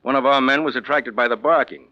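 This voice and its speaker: An actor playing a courteous, matter-of-fact police investigator who plainly explains a case in a 1940s radio drama. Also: male voice, mid-range voice, steady tone, fast talker, lightly acted. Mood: satisfied. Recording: muffled vintage 1940s radio recording.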